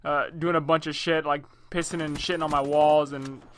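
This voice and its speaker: A young man with a bright, forward voice pitched a little high. He chats casually as a prank caller, rambling along in slangy, pleading phrases. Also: little pitch movement, moderate pace. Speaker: prank caller and video host. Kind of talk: casual prank phone chat.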